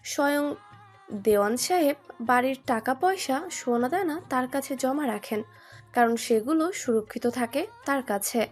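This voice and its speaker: A woman's voice: sits high, lively intonation, measured pace. A woman playing an authoritative, careful senior woman of a household in an audio story. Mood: firm. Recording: music behind the voice.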